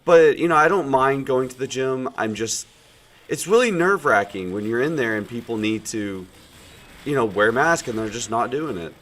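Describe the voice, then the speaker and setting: A man in his thirties with a cold, chatting casually while streaming a game, his normally loud voice sounding muted and subdued, rambling with many fillers. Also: medium pitch, animated, moderate pace. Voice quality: loud projecting voice, subdued today. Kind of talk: casual chat while gaming.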